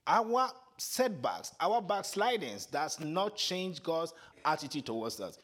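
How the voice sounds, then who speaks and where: Twi-speaking guy preaching, voice jumps around a lot, kinda high, gets loud and emphatic. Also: very animated, slow. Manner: earnest, builds to emphatic high points. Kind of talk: sermon.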